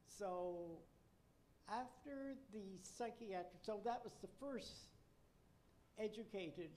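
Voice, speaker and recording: An older woman from Nova Scotia who is a retired nurse educator tells her career story in a measured, reflective way with wry asides. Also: mid-range voice, a little mellow, slight background noise, rough recording. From Nova Scotia, Canada.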